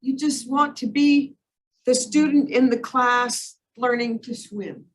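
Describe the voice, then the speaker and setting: A woman's voice: on the high side, fairly even intonation, slow. A woman, an instructor on a panel, posing a long, earnest question. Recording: distant mic with faint room noise.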